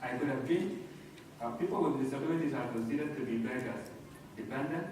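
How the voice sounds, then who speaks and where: A man's voice: mid-range voice, fairly quick, darkish tone. South Sudanese man speaking in echoey hall. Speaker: conference speaker. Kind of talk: personal-story speech. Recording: very echoey hall, distant mic, noisy.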